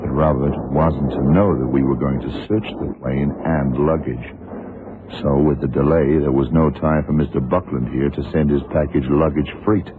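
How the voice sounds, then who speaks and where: An extremely deep-voiced detective speaks with clipped, cool authority. He sounds shrewd and deliberate, in radio drama style, on a thin old broadcast recording with heavy noise. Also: narrow, tinny audio. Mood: urgent, commanding. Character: blind male detective, shrewd, cool, commanding. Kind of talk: 1970s radio drama acting.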